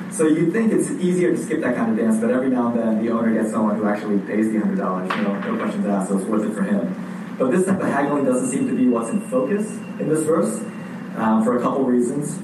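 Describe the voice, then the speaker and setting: A man preaching a sermon in a conversational way, often pausing with fillers and restarting his sentences. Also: mid-range voice. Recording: echoey room heard from a distance with background noise.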